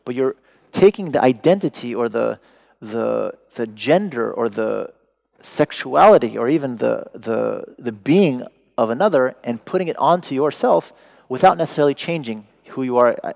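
A man with a creaky, gravelly voice lectures to a seated audience in a large hall. He is a native Persian speaker who talks in a prepared yet conversational way, pausing to find and stress the exact word. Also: medium pitch, darkish tone.

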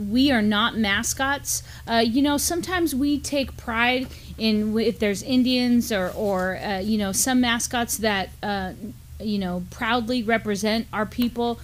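Female co-host, middle-aged, somewhat higher pitch, earnest and conversational news commentary. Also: measured pace, a little hiss or hum. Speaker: co-host and educator. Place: indoor studio desk, backdrop.

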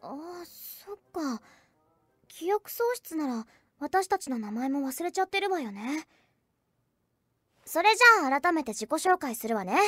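A woman's voice: high voice, animated, measured pace, dry recording, studio quality. A woman playing a frank fourteen-year-old schoolgirl in an anime audio drama, casual and straightforward. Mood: matter-of-fact.

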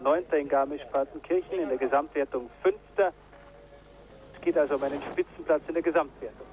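A man giving live commentary on a ski jumping event, getting excited on big jumps, from an old broadcast tape. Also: fairly high pitch, brisk, rough recording. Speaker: sports commentator.

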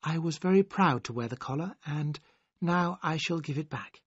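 A man voicing a gentle, soft-spoken young widow in a storybook reading, speaking quietly and low. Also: normal speed, slightly rough, dry recording, muffled recording.

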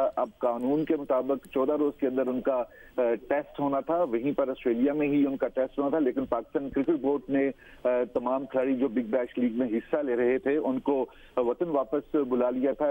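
A male field reporter giving a formal news update, measured and pausing often on short hesitations. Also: medium pitch. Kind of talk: news report over the phone. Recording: tinny phone line.

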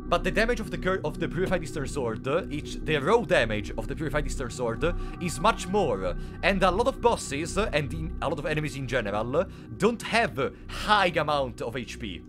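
A young man with a sore throat, chatting playfully with his live chat while streaming a game. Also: a little high. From Italy. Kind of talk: casual live-stream commentary.

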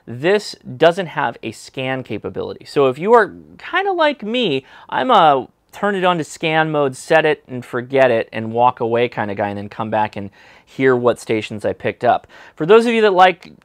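A man's voice: mid-range voice, very animated, moderate pace, no echo, clean studio sound. An American man in his thirties presenting a casual product review to camera in an enthusiastic, emphatic way. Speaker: host of a ham radio review channel. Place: small indoor workshop room. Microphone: lapel mic.

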